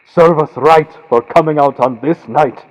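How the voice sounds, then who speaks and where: A man's voice: on the high side, unhurried, pitched above the performer's own voice, lightly acted. A man voicing a shaken, bewildered soldier full of superstitious dread, in a gothic audiobook with a vintage radio sound. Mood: uneasy dread. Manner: shuddering, uneasy. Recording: lo-fi built-in computer mic, hissy vintage-radio sound.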